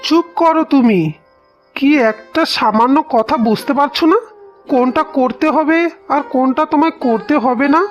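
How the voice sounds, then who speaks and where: A woman's voice: high-pitched, close to an everyday voice. A woman voicing a stern, indignant young husband and devoted son in a cartoon. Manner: indignant, scolding. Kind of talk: cartoon dialogue acting. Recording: dull audio with music behind.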